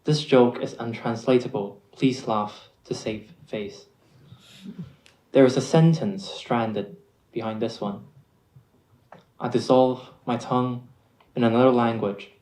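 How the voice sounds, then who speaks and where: A young man from Hong Kong reading his own poem aloud in a measured, deliberate way. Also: mid-range voice, darkish tone, roomy sound, low-quality recording. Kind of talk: slow poetry reading.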